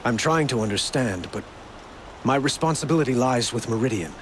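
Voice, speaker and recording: A man's voice: medium pitch, expressive, brisk, fairly bright tone, a little hiss or hum, close to an everyday voice. A man playing an earnest, dignified young king in a video game, speaking formally and carefully. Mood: firm, concerned. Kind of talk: video game cutscene acting.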